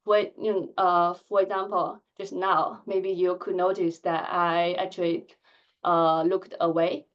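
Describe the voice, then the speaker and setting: A woman in her thirties who stutters, giving a candid conference talk with blocks and filled pauses. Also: on the low side, level tone, highs cut off. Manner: soft-spoken, candid, measured. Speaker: conference speaker. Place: conference hall.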